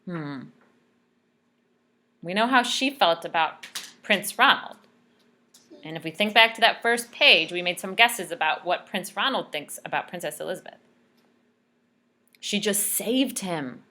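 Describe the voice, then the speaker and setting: Very animated woman reading to children. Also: fairly low pitch. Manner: teacherly, expressive, pausing to ask questions. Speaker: speech therapist reading aloud. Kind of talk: animated children's read-aloud with questions.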